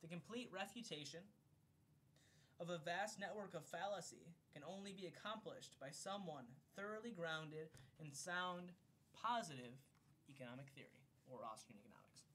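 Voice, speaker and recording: A man's voice: normal speed, pushed and bright. A slightly drunk man reading an economics text aloud in an amateur way, declamatory on the page and loose and profane in casual asides. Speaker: amateur reader of a bedtime recording for a friend. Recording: homemade recording with low rumbling noise.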